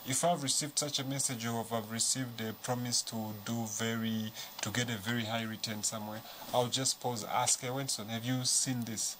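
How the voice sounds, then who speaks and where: A man's voice: mid-range voice, level tone, moderate pace, few pauses, a bit bright. Earnest man giving advice, noisy room. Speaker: studio guest. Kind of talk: studio discussion.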